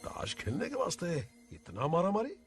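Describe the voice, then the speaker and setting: A man's voice: sits high, wildly swooping pitch, moderate pace, faint music behind, heavily acted. A man voicing a scornful gang boss in a dubbed action film, drawling and threatening. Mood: mocking menace.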